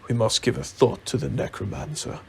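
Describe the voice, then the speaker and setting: A man's voice: medium pitch, a little fast, vocal fry, a little mellow, slight background noise. A man playing a proud, demanding dwarf leader in a storybook reading, haughty and blunt. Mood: resolute.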